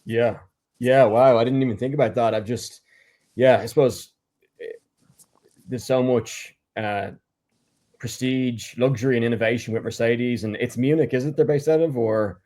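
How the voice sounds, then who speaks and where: Hesitant podcast host, mellow voice. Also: male voice, medium pitch, steady tone, normal speed, pauses often. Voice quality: hesitant, many fillers and restarts. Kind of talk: casual interview, reading an intro.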